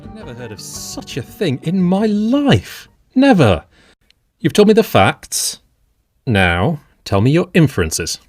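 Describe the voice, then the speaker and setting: Audio drama: level-headed, avuncular Victorian friend played by a man, animated delivery, reasoning and doubtful, dry studio-like sound. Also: on the high side, slow pace, no room sound, faint music behind, full character voice. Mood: puzzled, curious. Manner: reasoning, expressive, formal period speech.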